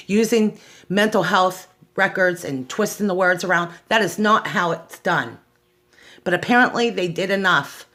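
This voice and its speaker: A woman's voice: lowish voice, a bit bright. Outspoken, aggrieved American woman. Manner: outspoken, aggrieved, emphatic, repeating phrases for stress. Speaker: host of a personal awareness show. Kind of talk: unscripted personal monologue, testimonial.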